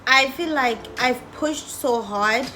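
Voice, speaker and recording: A woman's voice: high-pitched, slow, sharp tone, slight background noise, poor audio. A young woman, a medical student, speaking in a determined, emphatic way in a casual group discussion. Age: young adult.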